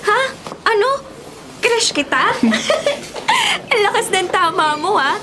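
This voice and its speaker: A woman's voice: very high voice, big pitch swings, slow pace, heavy background noise, heavily acted. A woman playing a sassy, emphatic young college student with a Filipino accent in a radio drama. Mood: mocking. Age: young adult. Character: sassy young female student who secretly sees spirits. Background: music bed.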